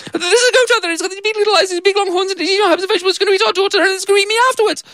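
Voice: extremely high pitch, fairly even intonation, rapid-fire, a bit bright, dry recording, clean studio sound, voice pushed up. A storyteller voicing a flustered mother who stammers when upset.

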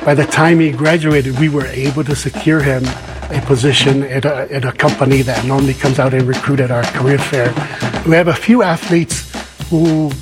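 A man's voice: mid-range voice, steady tone, normal speed. A man from Samoa who works as a career advisor talks about his work in an earnest, avuncular way. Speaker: career advisor for college athletes. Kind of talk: interview-style personal talk. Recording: music under the voice. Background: drum-heavy music.

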